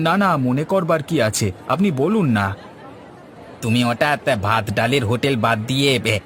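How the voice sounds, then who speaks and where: A man's voice: mid-range voice, lightly acted. A man voicing a friendly, polite villager chatting conversationally in a cartoon. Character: friendly male villagers chatting over food. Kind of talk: cartoon voice acting. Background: music.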